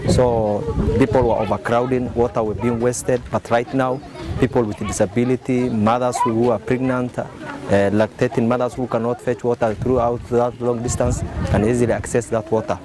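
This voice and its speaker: A man with an East African accent giving halting, explanatory interview testimony. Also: medium pitch, level tone. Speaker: community interviewee. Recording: outdoor recording with crowd noise.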